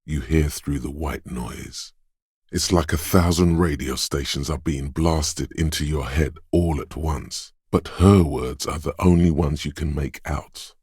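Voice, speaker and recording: A game's inner sense of perception, a disembodied male voice that describes every sound it catches. It sits extremely deep and comes out husky and breathy. The delivery is unhurried and close-miked with no room sound. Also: no room sound, exaggerated voice. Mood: hushed observant. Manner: hushed, measured, quietly descriptive. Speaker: voice actor. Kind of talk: game voice lines, second-person narration.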